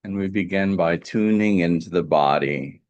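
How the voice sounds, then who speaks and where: A man with a very deep, low voice leads a guided meditation. He speaks calmly and gently, leaving deliberate pauses between phrases. The sound is close and dry. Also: dry recording, dull audio. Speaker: meditation teacher.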